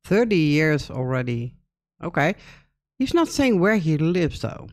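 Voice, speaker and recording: A woman's voice: low voice, sing-song, a little slow. A Dutch woman in her fifties with a Dutch accent, hosting a casual reaction video and chatting in English, often breaking in to agree. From Netherlands. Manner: chatty, thinks aloud, with frequent agreeing interjections.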